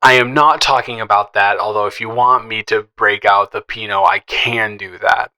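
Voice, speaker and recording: Guy playing a teasing best friend type, bright voice, kinda shy n stammery, flirty audio roleplay, chatty. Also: on the low side, fairly even intonation, low-quality recording. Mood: nervous, friendly. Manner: playful, teasing, halting with stammers and chuckles. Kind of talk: improvised, conversational romantic audio roleplay.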